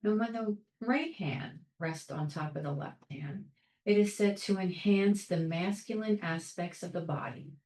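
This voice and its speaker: A woman leading a guided meditation in a calm, soothing, measured way, leaving long pauses between short phrases. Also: mid-range voice, roomy sound, poor audio. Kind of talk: guided meditation, soft instruction.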